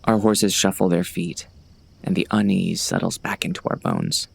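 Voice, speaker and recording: Brooding cowboy narrator, super deep croaky voice, wry n uneasy, crickets in the background. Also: male voice, huge pitch swings, normal speed, heavily acted. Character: terse, uneasy gunslinger on horseback, wry and weary. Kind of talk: first-person western audiobook narration.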